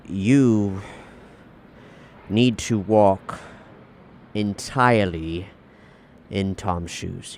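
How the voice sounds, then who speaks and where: A man's voice: low-pitched, slight background noise. A man playing a demanding, pompous acting teacher in an improvised audio role-play, theatrical and exasperated, repeating himself for emphasis. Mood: grave, condescending. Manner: dramatic pauses, repeats himself, pompous, searching for words.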